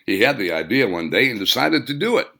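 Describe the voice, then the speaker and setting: A man's voice: medium pitch, big pitch swings, fast talker, gravelly, bright, forceful tone. A man reading his own novel aloud as scripted audiobook narration, with dramatic, emphatic phrasing.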